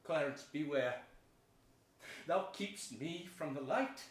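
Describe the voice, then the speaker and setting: Slow, high-pitched gloating villain duke. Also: male voice, expressive. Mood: brooding, scheming. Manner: mocking, declamatory, with drawn-out sinister emphasis. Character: gloating, murderous hunchbacked villain duke, scornful and savage. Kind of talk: theatrical Shakespearean stage acting. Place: indoor theater stage before an audience. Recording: roomy theater stage, distant mic.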